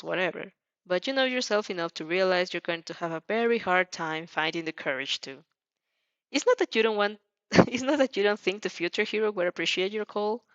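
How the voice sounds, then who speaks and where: A woman's voice: medium pitch, fairly bright tone, no echo, highs cut off. A woman reading a fan fiction story aloud with casual asides, giggly and often stumbling over her words.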